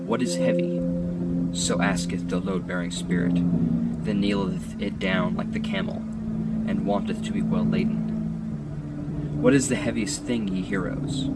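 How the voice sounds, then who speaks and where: Low-voiced man reading over prominent music. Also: steady tone, a little slow, long pauses, poor audio. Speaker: audiobook reader. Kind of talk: solemn literary reading of archaic philosophical text. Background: music and sound design behind the voice.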